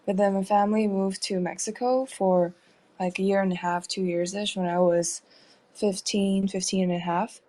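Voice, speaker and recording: A young woman from Taiwan, an artist guest, talking in a relaxed way with hesitant fillers and easy laughs. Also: mid-range voice, moderate pace. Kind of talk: casual interview chat.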